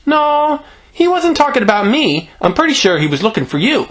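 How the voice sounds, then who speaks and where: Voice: sits high, very animated, normal speed, fairly bright tone, pitched above the performer's own voice. A man voicing a cheerful, stubborn little girl with a chirpy, questioning, matter-of-fact manner in a story. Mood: eager, matter-of-fact.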